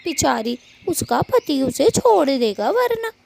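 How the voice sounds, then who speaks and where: Woman voicing an animated gossipy cartoon bird friend. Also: sits high, slow, gravelly, a little hiss or hum, full character voice. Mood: admiring, pitying. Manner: gossipy, exasperated. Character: exasperated, sympathetic gossiping female bird friend. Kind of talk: Hindi cartoon story dubbing.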